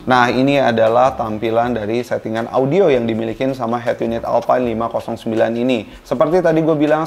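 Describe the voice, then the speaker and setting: Jakarta indonesian dude doing a chatty product review, bit of fry in his voice, music in the back. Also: medium pitch, darkish tone. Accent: Indonesian, Jakarta colloquial. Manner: addresses viewers directly, informal. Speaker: product reviewer, video host. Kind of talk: casual video product review to camera.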